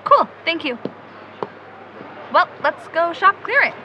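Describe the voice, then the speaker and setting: A woman's voice: very high-pitched, very animated, takes it slowly, lo-fi sound, noisy background, exaggerated voice. A woman playing an earnest, insecure young woman in an audio drama, with a hesitant, natural delivery. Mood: self-conscious. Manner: hesitant, self-doubting.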